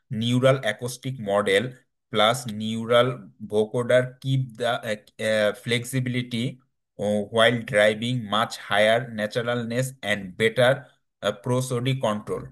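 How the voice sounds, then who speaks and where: A man giving a technical slide presentation in a halting, hesitant way, with non-native English phrasing. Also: mid-range voice, steady tone.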